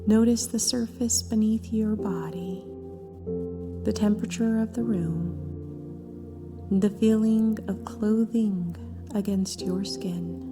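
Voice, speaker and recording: A woman leading a scripted guided meditation in a gentle, soft voice with breathy phrase endings and long pauses between short phrases. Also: medium pitch, fairly even intonation. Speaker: therapist guiding a meditation. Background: background music.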